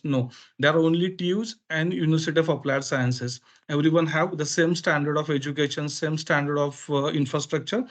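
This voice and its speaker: A man with an Indian accent, a native Marathi speaker, explaining things informally as a webinar presenter in halting, filler-heavy phrases. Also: fairly high pitch. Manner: halting, hesitant phrasing with frequent filler pauses. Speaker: education consultant presenting a webinar.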